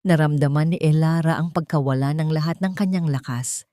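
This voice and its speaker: A Filipino woman narrates a scripted love drama in a low voice. The delivery is dramatic storytelling, and the recording is dry and close. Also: fairly quick, dark tone, dry recording.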